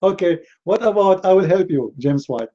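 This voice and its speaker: Arabic-accented man, indignant rebuttal, raised pitch, muffled lo-fi sound. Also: animated, normal speed, mellow. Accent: Middle Eastern Arabic. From Middle East. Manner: indignant, repeats words and restarts often. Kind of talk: heated rebuttal to a clip.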